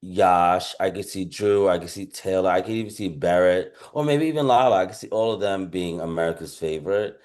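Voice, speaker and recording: A man's voice: low voice, a little fast, hardly any pauses. A man co-hosting a podcast in a casual chat, agreeable and a little hesitant as he gives his views. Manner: agreeable, soft backchannels, hesitant. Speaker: podcast co-host.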